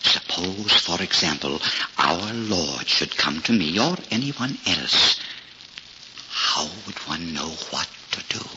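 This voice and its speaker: An actor playing a humble, pious old shoemaker in a 1940s radio drama, earnest and halting, often repeating himself. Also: male voice, low voice, animated. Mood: earnest, wondering. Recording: noisy, muffled 1940s radio recording.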